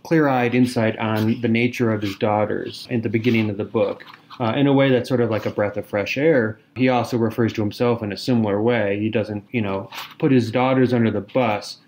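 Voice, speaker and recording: A man's voice: on the low side, steady tone, brisk, darkish tone, slight background noise. A man co-hosting a podcast, analyzing a detective novel in an articulate way with occasional hesitations. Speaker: podcast co-host. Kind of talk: casual book discussion.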